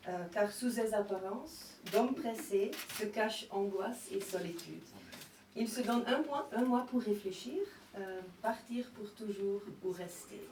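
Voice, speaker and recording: A woman from Belgium presenting a comic album from a prepared script. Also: mid-range voice. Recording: echoey, distant, with faint noise.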